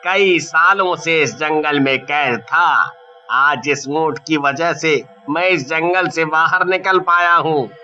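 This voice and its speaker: Slow, sharp-voiced gloating forest ghost. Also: male voice, fairly high pitch, full character voice. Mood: gloating triumph. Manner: gloating, drawn-out menacing speech with evil laughter. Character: hungry, gloating man-eating male forest ghost. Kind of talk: dramatized horror bedtime story. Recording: muffled lo-fi audio with music.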